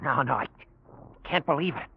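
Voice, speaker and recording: An actor playing an anguished, hot-headed young pilot with a strained, raspy voice in a 1940s radio drama. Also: male voice, mid-range voice, animated, moderate pace, heavily acted. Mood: anguished disbelief. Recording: dull old radio recording.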